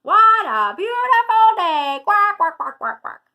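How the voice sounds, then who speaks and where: A woman voicing a very loud, excitable female duck in a children's storybook, shouting and quacking. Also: extremely high pitch, takes it slowly, lo-fi sound, pitched above the performer's own voice, full character voice. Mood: loud, cheerful. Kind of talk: children's storybook read-aloud.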